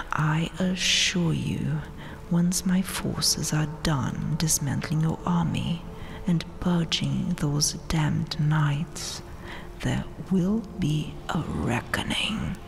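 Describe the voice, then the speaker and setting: A woman voicing a vengeful, coldly dominant vampire queen in a breathy, mocking, regal whisper for an ASMR roleplay. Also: low voice, exaggerated voice. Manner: slow, deliberate, mocking, regal.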